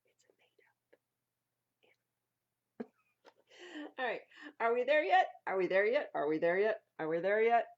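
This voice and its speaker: A woman reading a picture book aloud at a library storytime in a playful, theatrical way, with a husky voice. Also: mid-range voice, sing-song, measured pace, pauses often, muffled recording. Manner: playful, theatrical, stresses and repeats words for young listeners. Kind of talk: expressive read-aloud of children's picture books.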